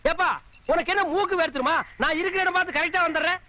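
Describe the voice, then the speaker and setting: A scornful village man in a slapstick film comedy. He harangues people in a very high, piercing voice at a quick clip, heaping on sarcasm. The soundtrack is slightly noisy. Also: bright, forceful tone, heavily acted. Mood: mocking. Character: loud, scornful, sharp-tongued village man, mocking everyone.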